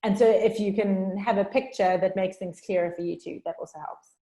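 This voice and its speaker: A woman with a South African accent giving a chatty workshop talk on a video call, talking fast and informally. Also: mid-range voice, fairly even intonation, warm tone. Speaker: workshop speaker. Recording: roomy echo.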